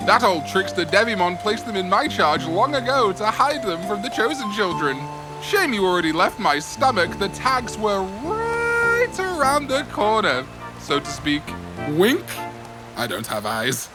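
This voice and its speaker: Giant whale monster played by an actor, parody cartoon. Breezy, teasing, hugely animated delivery over music. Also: mid-range voice, measured pace. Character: giant whale monster, easygoing, jokey and chatty. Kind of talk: comedic cartoon parody dub. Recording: music behind the voice.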